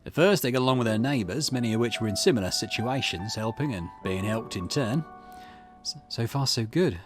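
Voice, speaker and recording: A man reading video game dialogue aloud in an expressive way, with the occasional small stumble. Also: mid-range voice, brisk, over music. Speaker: gaming channel host reading a visual novel aloud.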